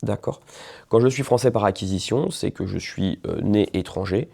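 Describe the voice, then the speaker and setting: A man with a deep, mellow voice and a gravelly edge talks through a legal topic for a video. He explains in a loose, conversational way, pausing on fillers and often checking the listener is following. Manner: conversational, many hesitations, checks in with "d'accord". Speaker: lawyer explaining nationality law.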